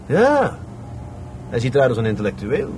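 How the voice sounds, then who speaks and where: Plain-spoken adjutant, faint noise behind. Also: male voice, mid-range voice, huge pitch swings, normal speed. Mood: reasoning aloud. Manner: colloquial, matter-of-fact. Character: plain-spoken, stubborn middle-aged police adjutant. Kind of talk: Dutch radio play acting.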